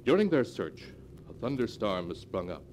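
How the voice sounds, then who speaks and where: Ominous male storyteller, lowish voice, portentous radio horror narration, noisy vintage recording. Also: big pitch swings, normal speed, darkish tone. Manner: dramatic, portentous. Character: ominous storyteller reading from a sealed book.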